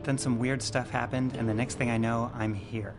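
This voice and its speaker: An actor playing an anxious, earnest spaceship survivor in a video game, with a dry conversational delivery. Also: male voice, medium pitch, fairly even intonation, moderate pace, natural voice. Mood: downcast. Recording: game music behind the voice. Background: game background music.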